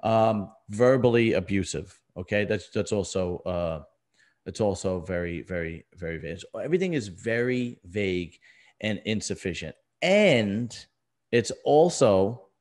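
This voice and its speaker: A man's voice: lowish voice, darkish tone. A middle-aged man hosts a casual podcast. He talks bluntly and emphatically, hammering his points by saying them again. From US East Coast. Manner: blunt, emphatic, repeats himself. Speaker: podcast host. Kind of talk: casual conversational podcast talk.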